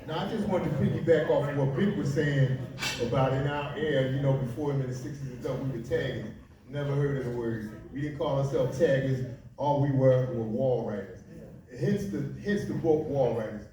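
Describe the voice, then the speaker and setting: A man's voice: mid-range voice, normal speed, dark tone, far from the mic. A seventy-year-old man from Philadelphia, a panelist reminiscing and joking with the audience, often laughing. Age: 70s. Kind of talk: panel storytelling. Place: large library event room. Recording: echoey hall with audience, recorded from afar.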